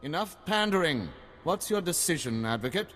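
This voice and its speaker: A man's voice: on the high side, big pitch swings, normal speed, fairly bright tone, soft background music. A man voicing a pompous, scheming older nobleman in a video game, formal and impatient. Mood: scornful. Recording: long hall reverb.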